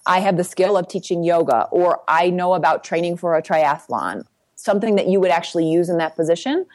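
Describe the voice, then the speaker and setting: Woman, lowish voice, brisk practical coach who keeps checking in with listeners. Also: lively intonation, darkish tone. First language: English. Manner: brisk, practical, tag questions like "right?". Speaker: guest career coach. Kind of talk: advice interview.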